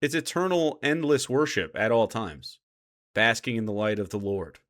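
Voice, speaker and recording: A man's voice: mid-range voice, normal speed, a bit bright. A man doing casual live reaction commentary as a streamer, argumentative, sarcastic and given to swearing.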